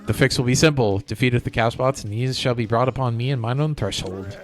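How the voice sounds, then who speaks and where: A man's voice: mid-range voice, brisk, faint music behind, close to an everyday voice. A man voicing a pompous, money-fretting old-timey merchant from a cartoon game, with theatrical archaic phrasing. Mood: pompous, aggrieved. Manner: theatrical mock-archaic speech.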